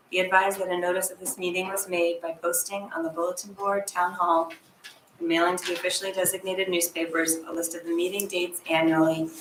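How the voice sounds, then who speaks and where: A woman's voice: on the low side, a bit bright. A woman chairing a planning board meeting, reading official notices and running the agenda, recorded in a town hall room. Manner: reads official notices, apologetic about audio. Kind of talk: formal meeting procedure. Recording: echoey room, distant mic, background noise.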